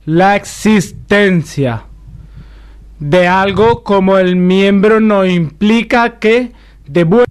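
A solemn male church dignitary acted by a man in a spoof radio sketch, speaking slowly and haltingly in a very high register. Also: clear, resonant tone.

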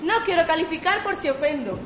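A woman's voice: very high voice, expressive, fast talker, fairly bright tone. A woman playing a proud young lady, haughty and cutting, in an amateur radio farce. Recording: echoey, noisy amateur recording.

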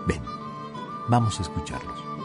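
An actor playing a storyteller guide who addresses the listener directly in dramatic radio drama narration, over music. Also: male voice, normal speed, close to an everyday voice. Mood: inviting, solemn. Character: storyteller guide urging a pilgrim listener to take notes. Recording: music behind the voice.

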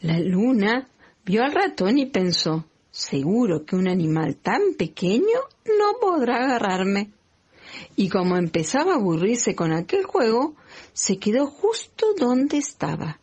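A woman's voice: medium pitch, big pitch swings, a little slow, a little mellow, husky. A woman with an Argentine accent, a kindergarten teacher narrating a children's storybook.

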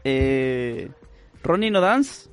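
A young man with a Chilean accent bantering crudely and excitably as co-host of a comedy show. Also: medium pitch, drawn-out words. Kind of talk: casual joking banter. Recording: faint music behind the voice.

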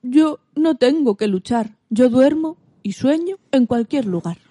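A woman's voice: sits high, animated, a little slow, warm tone, slightly rough, no echo, heavily acted. A woman acting an easygoing, blunt dog in a radio fairy tale. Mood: carefree. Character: easygoing, blunt dog who loves music.

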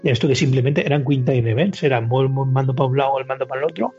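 A man's voice: mid-range voice, quick pace, mellow. A man with a Spain accent chatting casually about games as a podcast panelist, often searching for words. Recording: dull lo-fi audio, music behind.

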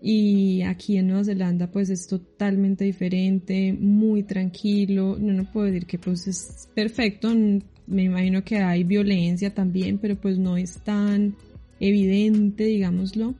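Chatty Colombian woman, mellow voice. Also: mid-range voice, level tone, unhurried, lo-fi sound, soft background music. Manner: chatty, laughing, many restarts. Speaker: guest living abroad. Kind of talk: casual interview answers.

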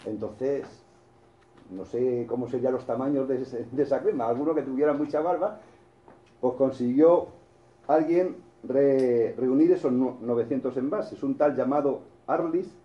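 A man's voice: mid-range voice, moderate pace, reverberant, lo-fi sound. A man with a Spanish accent from Spain, preaching a sermon in a storytelling way, with restarts and asides to the congregation.

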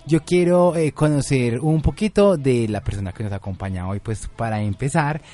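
A man's voice: medium pitch, moderate pace, warm tone, soft background music. A man with a Colombian accent from Medellín, hosting a community radio magazine in a wordy, playful, affectionate manner. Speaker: radio host.

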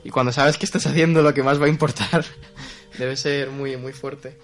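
A man's voice: medium pitch, fairly even intonation, normal speed, faint music behind. A young man with an ordinary mid-range voice, co-hosting a podcast. He talks in a wry, joking way and slips English phrases into his speech. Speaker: podcast co-host. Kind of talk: casual chat.

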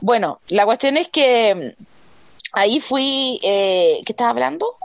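Joven chilena de voz aguda, muy coloquial. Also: female voice, a little high, measured pace, creaky, dry recording. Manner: slangy, expressive ranting. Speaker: podcast co-host. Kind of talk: casual chat.

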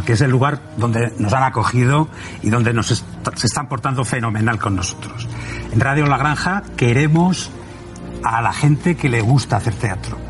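A man from the radio theatre world speaks conversationally with a Spain Spanish accent. His mid-pitched voice sits over clearly audible music. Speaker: radio theatre maker being interviewed. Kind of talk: conversational explanation.

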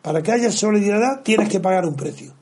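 A man's voice: high-pitched, fairly quick, a little mellow. An older man giving emphatic, lecturing opinions as a radio commentator.

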